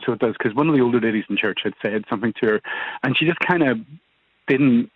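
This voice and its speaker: A man's voice: mid-range voice, fast, dry recording. A man, a church minister, recounting events as a radio interview guest in a hesitant way, repeating his words. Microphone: phone line. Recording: tinny dry phone line.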